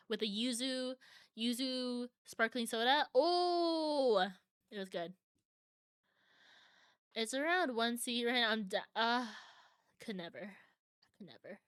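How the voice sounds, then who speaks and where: A woman's voice: mid-range voice, a bit bright, clear, resonant tone, no echo, clean studio sound. A young adult woman streaming from home, chatting casually with viewers and answering their comments.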